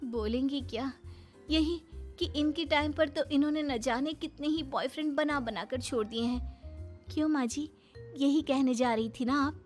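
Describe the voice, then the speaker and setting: Lady doing sassy young bahu in a cartoon story, high voice, fed up, bit of music behind. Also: moderate pace, darkish tone, close to an everyday voice. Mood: sassy, defiant. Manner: sassy, mock-innocent, dramatic. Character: fed-up, cheeky young Indian daughter-in-law. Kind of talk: animated moral story acting.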